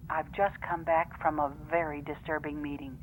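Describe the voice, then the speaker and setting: A woman playing a serious female first officer who dictates formal, measured log entries in a fan audio drama. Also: low-pitched, flat delivery, a little hiss or hum, natural voice, rough recording.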